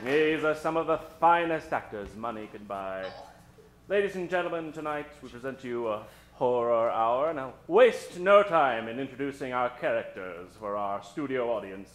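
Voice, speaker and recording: A man hosting a live old-time radio show, introducing characters from the stage in a dramatic, drawn-out storytelling style. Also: mid-range voice, animated. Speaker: host and announcer. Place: stage before a live studio audience. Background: musical accompaniment.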